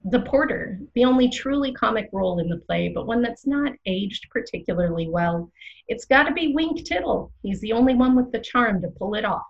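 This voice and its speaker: A woman's voice: fairly high pitch, animated, normal speed, vocal fry, dull audio. A woman playing an opinionated, theatrical co-host of a theatre prediction show, speaking with emphatic, rhetorical phrasing in scripted banter. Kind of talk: scripted podcast banter and commentary.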